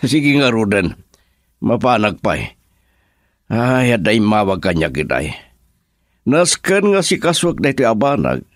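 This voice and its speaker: A man's voice: on the low side, big pitch swings, a little slow, pauses often, dry recording, studio quality. An actor playing an older, encouraging businessman boss in an Ilocano radio drama. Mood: casual.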